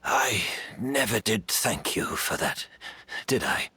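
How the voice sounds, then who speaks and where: A man voicing a gentle, aging scholar and teacher in a video game, speaking softly and reflectively with a resigned calm. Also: on the low side, takes it slowly, husky, dry recording, full character voice. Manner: soft-spoken, reflective, resigned. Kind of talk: game dialogue.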